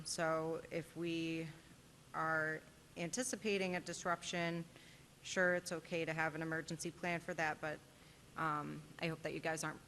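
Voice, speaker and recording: Flat-toned woman questioning a board. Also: low-pitched. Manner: earnest, hesitant with fillers, pointed. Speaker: parent giving public comment. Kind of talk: public comment at a board meeting. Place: town meeting room. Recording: reverberant meeting room with faint noise.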